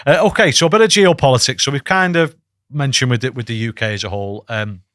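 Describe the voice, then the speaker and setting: A man hosting a podcast interview, asking halting questions full of fillers. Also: mid-range voice, fairly bright tone, no room sound, studio quality. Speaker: podcast host.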